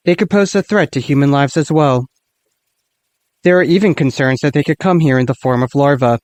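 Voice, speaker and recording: A man playing a sober radio news reporter, reading a scripted report in a measured, formal way. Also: a little high, darkish tone, no room sound, close to an everyday voice.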